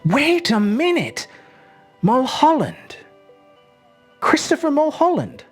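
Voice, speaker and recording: A woman's voice: high-pitched, wildly swooping pitch, takes it slowly, faint music behind, voice pushed up, exaggerated voice. A woman voicing a polite, puzzled seventeen-year-old boy with an earnest, hesitant way of musing aloud. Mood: excited, insistent.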